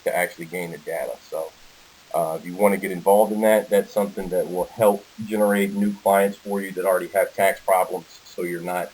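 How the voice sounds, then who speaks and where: A man's voice: deep voice, steady tone, fairly quick, a little mellow, poor audio. An American man giving an informal sales presentation on a conference call, walking through slides as the presenter. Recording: hissy conference call audio.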